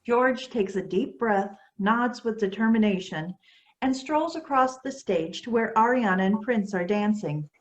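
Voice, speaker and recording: A woman's voice: mid-range voice, a little slow. A woman reading scripted stage directions as narrator of a staged play reading.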